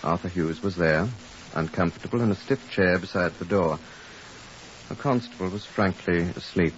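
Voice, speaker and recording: An actor playing a composed, weary Scotland Yard superintendent with a British accent and a dry manner, in 1950s radio drama style. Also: male voice, sits low, normal speed, lightly acted. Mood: dry, measured. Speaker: actor narrating and playing a detective. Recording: muffled old radio recording with hiss.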